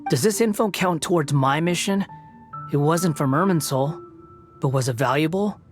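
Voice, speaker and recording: A man's voice: fairly high pitch, normal speed, natural voice. A bitter, proud young wanderer from a fantasy video game, acted by a man. He talks with haughty, theatrical sarcasm in a fairly light register for a fallen would-be god, with music playing behind him. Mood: measured, guarded.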